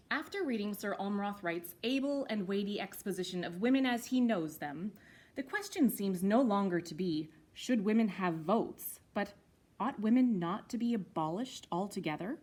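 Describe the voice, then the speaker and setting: A woman reading a formal letter aloud as a dramatic performance, arch and emphatic with mock earnestness. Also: mid-range voice. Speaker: actor reading historical letters.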